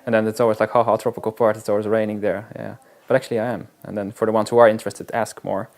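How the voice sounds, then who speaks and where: A man, a wilderness guide, talking in a casual interview in a halting, reflective way with frequent restarts. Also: on the low side, level tone, gravelly, a little mellow. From Netherlands.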